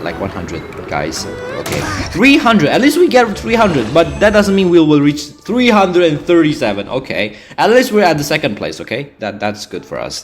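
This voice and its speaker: Rambling young man over game music. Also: medium pitch. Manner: rambling, many fillers and restarts. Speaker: gaming channel host. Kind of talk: casual gameplay commentary.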